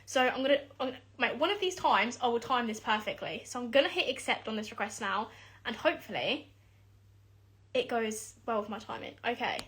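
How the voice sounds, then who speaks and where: A woman's voice: on the high side, expressive, a little fast, fairly bright tone, husky. A young woman, hosting a casual live video-call interview, chatty and giggly with lots of ums. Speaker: podcast host.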